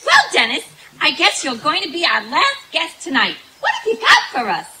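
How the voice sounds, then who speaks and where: A woman's voice: high-pitched, huge pitch swings, normal speed, sharp tone, full character voice. A woman playing a ditzy, innocent comedienne in old-time radio comedy, chirpy and cheerfully naive. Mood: naive, curious. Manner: scatterbrained, cheerfully naive, swooping. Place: outdoor night stage in the woods. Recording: noisy live outdoor stage recording.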